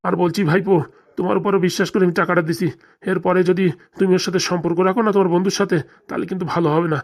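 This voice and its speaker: Someone voicing a rapid-fire, fretful ageing father. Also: medium pitch, flat delivery, natural voice. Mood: bitter, pleading. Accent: Bengali, rural dialect. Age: middle-aged. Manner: lamenting, rambling complainer. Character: anxious, aggrieved father nearing retirement, fretting over lost money. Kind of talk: comedy cartoon dialogue.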